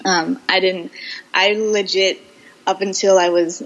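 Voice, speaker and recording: A slightly dull recording of a young American woman in a casual interview. Her pitch is average, and she speaks reflectively, pausing and feeling her way through phrases as a podcast guest. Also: steady tone. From United States, Boston and Houston.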